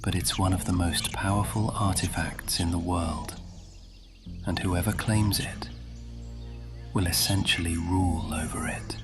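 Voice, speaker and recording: An actor playing a scheming, cultured, centuries-old lord in a fantasy audio roleplay, measured and condescending, often slipping into a breathy whisper. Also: male voice, sits low, fairly even intonation. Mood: hushed, intent. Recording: music behind the voice.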